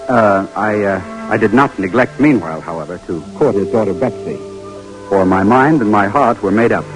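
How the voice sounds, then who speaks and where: A man's voice: fairly low pitch, moderate pace, close to an everyday voice, rough recording. An actor in an old radio drama playing a determined young inventor who narrates his own story, earnest and persuasive with small stammers. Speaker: narrator and lead character. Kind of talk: 1940s radio drama acting. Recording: old radio broadcast with music.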